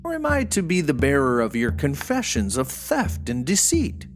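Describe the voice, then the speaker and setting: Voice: medium pitch, wildly swooping pitch, moderate pace, faint music behind. A storyteller voicing a haughty, suspicious rich man questioning a sibling in a pompous, accusing way.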